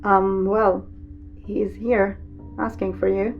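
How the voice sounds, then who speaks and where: A woman's voice: mid-range voice, little pitch movement, takes it slowly, highs cut off, soft background music. A woman playing a sulky teenage daughter with a murderous streak in a horror dramatic reading, casual and petulant. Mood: uneasy.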